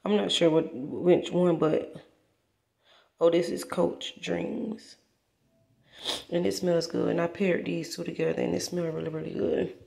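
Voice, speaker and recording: A woman's voice: on the low side, a little slow, creaky, long pauses, a little mellow. A woman in her twenties chatting casually to her phone camera in a laid-back, slangy vlog. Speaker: vlogger. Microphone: handheld phone camera.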